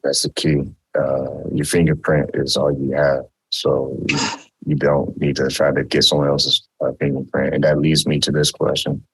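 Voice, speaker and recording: A man hosting an interview in a relaxed, casual way, using everyday metaphors. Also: extremely low pitch, normal speed, breathy edge, rough recording.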